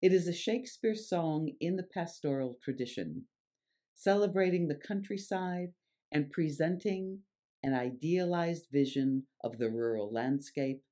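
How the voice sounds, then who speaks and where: A presenter giving scripted narration and reciting verse in a measured, deliberate, theatrical way. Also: medium pitch, dark tone. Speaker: presenter and reader.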